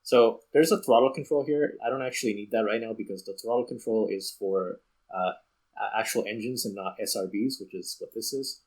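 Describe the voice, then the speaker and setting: Man, deeper pitch, casual gameplay commentary, thinking aloud, explanatory. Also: brisk, darkish tone, low-quality recording. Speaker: video game streamer and host.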